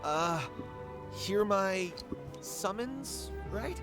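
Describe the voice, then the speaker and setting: Casting: fumbling earnest youth for a game, higher voice, slow delivery, music behind. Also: male voice, lively intonation, exaggerated voice. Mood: solemn, unsure. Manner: hesitant, fumbling. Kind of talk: video game voice acting.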